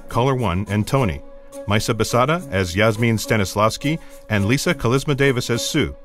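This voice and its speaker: A man reading scripted credits as an announcer. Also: on the low side. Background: music.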